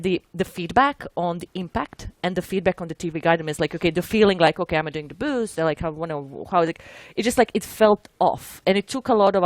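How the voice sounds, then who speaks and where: A woman's voice: sits low, no echo. Casual Croatian-accented woman, close dry sound. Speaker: game producer, panel guest. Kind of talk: casual developer discussion.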